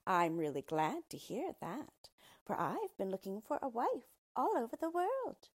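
A woman voicing an ugly, boastful male dwarf who talks in a smug, disdainful, drawn-out way, in a storybook reading. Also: fairly high pitch, sing-song, breathy edge, pitched above the performer's own voice, exaggerated voice.

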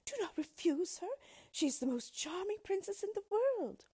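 A woman voicing a frightened queen and mother who pleads in a high, crying, desperate voice. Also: big pitch swings, quick pace, dark tone, husky, exaggerated voice. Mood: pleading.

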